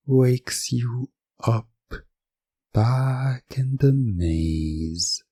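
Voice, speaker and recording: Male hypnosis storyteller: low, dark-toned, suggestive, with dramatic pauses and a close, dry sound. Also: husky, dry recording. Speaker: hypnosis narrator. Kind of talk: scripted second-person hypnosis ASMR storytelling.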